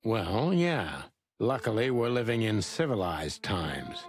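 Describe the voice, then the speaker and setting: An actor playing a polite, wry bird detective in a film-noir game, with a courteous way of talking. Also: male voice, lowish voice, sing-song, slow pace, faint music behind. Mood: dry.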